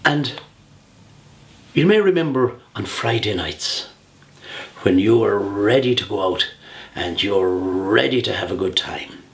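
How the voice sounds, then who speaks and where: An older Irish man speaks in a somewhat low register, presenting a humorous prepared speech. His delivery is theatrical and measured, with deliberate pauses between phrases. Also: lively intonation, gravelly. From Ireland. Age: 60s.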